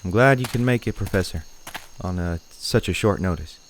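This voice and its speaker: A man's voice: deep voice, normal speed, close to an everyday voice. A man playing a troubled, plain-spoken farmer in an audio horror play, hesitant, folksy and understated. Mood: grim, resigned. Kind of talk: audio horror drama acting. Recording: insect-like ambient noise behind dialogue.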